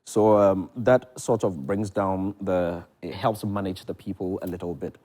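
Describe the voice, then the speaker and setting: A man's voice: sits low, steady tone, unhurried, mellow. A man with a Ghanaian accent talking conversationally as a theatre producer and director being interviewed on television. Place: television studio.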